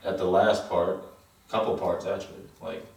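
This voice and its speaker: A man's voice: low-pitched, flat delivery, normal speed, creaky, a little mellow. A man in a casual chat as co-reviewer, talking in a loose, blunt, slangy way with some swearing. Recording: echoey, distant mic with background noise.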